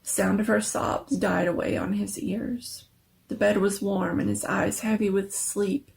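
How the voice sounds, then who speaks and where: A woman's voice: mid-range voice, steady tone, measured pace, creaky, darkish tone. A woman narrator giving a dramatic reading of a scary story.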